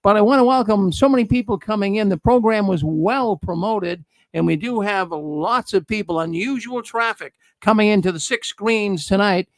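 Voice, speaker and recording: Upbeat male host, high dry voice. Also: moderate pace, hardly any pauses, dry recording, poor audio. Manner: upbeat, welcoming, repetitive announcer talk. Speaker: show host. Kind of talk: live online show introduction.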